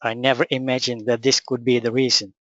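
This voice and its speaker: Dutch-accented man, calm and measured. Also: mid-range voice, highs cut off. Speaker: spiritual teacher. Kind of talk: personal account and teaching talk.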